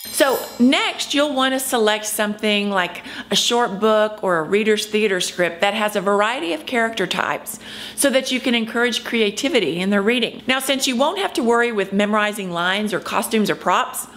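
A woman's voice: mid-range voice, lively intonation, normal speed, a bit bright. A woman in her fifties presenting a scripted instructional video as a drama teacher, speaking emphatically to camera. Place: indoor room at home.

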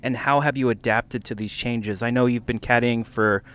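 Male interviewer with a clear, smooth voice, reading questions off a list. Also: mid-range voice, fairly even intonation, normal speed, fairly bright tone. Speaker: interview host.

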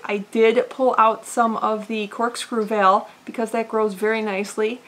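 A woman in her thirties with a slightly high voice walks viewers through her aquarium setup in relaxed vlog chatter. She pauses often with small "uh" fillers and keeps an easy, conversational flow, recorded at her desk in a room lined with tanks. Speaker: aquarium channel host. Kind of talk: casual vlog narration. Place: indoor fishroom with tank racks.

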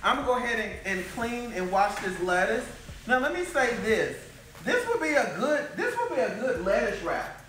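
A man's voice: very high voice, sing-song, moderate pace, a bit bright, slight background noise. An American man in his thirties hosting a cooking vlog with playful, sassy, casual banter. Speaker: cooking show host. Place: home kitchen, indoors. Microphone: camera a few feet away. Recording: echoey kitchen, distant mic, music behind.

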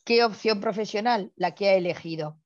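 A woman's voice: medium pitch, normal speed. Effusive middle-aged woman, dull call sound. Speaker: host introducing a speaker. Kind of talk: introduction speech, partly read out. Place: indoor room, on a video call. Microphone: earphone headset on a video call.

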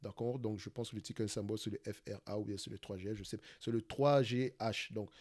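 A man with a Cameroonian French accent who hosts an investing channel, talking quickly through a live screen-share stock analysis, often restarting phrases and checking in with "d'accord?". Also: on the low side, fairly even intonation, a little mellow. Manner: fast talker, searches for words, many restarts and "d'accord?".

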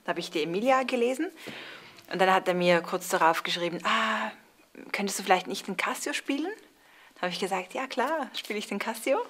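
A woman's voice: mid-range voice, expressive, fairly quick, fairly bright tone. A young woman, an actor being interviewed, who recounts events in a chatty way. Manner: chatty storytelling with laughs. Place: indoor theatre space with brick wall.

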